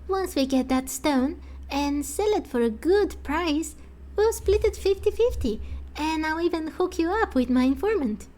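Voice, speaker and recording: A woman's voice: high voice, animated, measured pace, warm tone. A woman voicing a cocky, theatrical thief from a game, boastful and conspiratorial, in a fan voice-over. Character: cocky, theatrical self-styled master thief.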